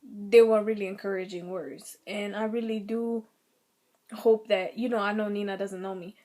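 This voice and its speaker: A young woman with a slightly raspy throat, giving earnest, rambling commentary on her own vlog channel. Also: mid-range voice, steady tone, moderate pace, warm tone. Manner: earnest, rambling, many fillers. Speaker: vlogger.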